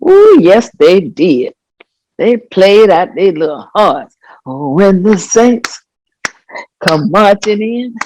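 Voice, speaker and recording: A woman playing an elderly grandmother in a staged reading, telling her memories in a folksy, expressive way. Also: mid-range voice, a little hiss or hum, poor audio. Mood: joyful, exclaiming. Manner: folksy, expressive, unhurried storytelling with exclamations. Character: elderly Southern grandmother reminiscing fondly and animatedly. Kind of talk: staged reading of oral history.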